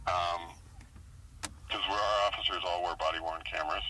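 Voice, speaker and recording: A man speaking as a police sergeant on a phone call, procedural and halting, repeating and checking details. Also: low voice, steady tone, sharp tone. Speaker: police sergeant handling a complaint. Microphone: recorded phone call. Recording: noisy telephone line.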